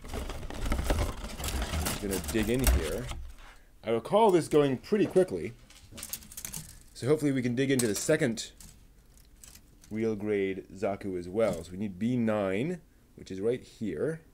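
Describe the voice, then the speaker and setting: Male host, low and unhurried, muttering to himself as he works, faint clicks behind. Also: sing-song, a little mellow, a little hiss or hum. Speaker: livestream host building a model kit. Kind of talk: casual live commentary while assembling.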